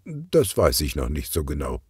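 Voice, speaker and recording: A man's voice: very deep voice, wildly swooping pitch, normal speed, a little mellow, slightly rough, no room sound, studio quality, full character voice. Man as an eager, confiding teenage girl. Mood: excited, confiding. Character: excitable teenage girl, the best friend.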